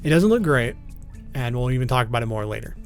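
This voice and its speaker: A man's voice: mid-range voice, big pitch swings, quick pace. A man hosting an explainer video, reading scripted narration with casual asides and an informal, slightly stumbling delivery. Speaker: video host. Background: background music.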